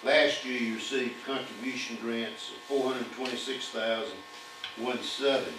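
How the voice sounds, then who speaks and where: A man's voice: mid-range voice, steady tone, moderate pace. Older man reading audit figures, echoey hall. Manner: reads figures steadily, with fillers. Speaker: auditor presenting to town council. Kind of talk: formal financial report reading. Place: municipal council chamber. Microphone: podium mic, far camera. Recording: echoey distant room audio with hum.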